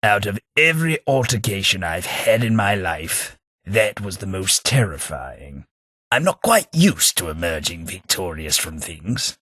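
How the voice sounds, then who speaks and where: A man voicing a posh ghoul gentleman companion in a video game, dry, sardonic and fussy. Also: deep voice, very animated, unhurried, slightly rough, dry recording, exaggerated voice. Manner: dry, sardonic, prim understatement.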